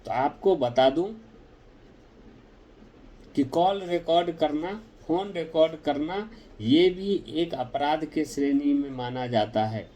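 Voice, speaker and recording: Brief: male legal explainer, raised voice, slow measured delivery, speaks straight to viewers. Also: a little high, expressive, mellow, slight background noise, rough recording. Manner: addresses viewers directly, deliberate and explanatory.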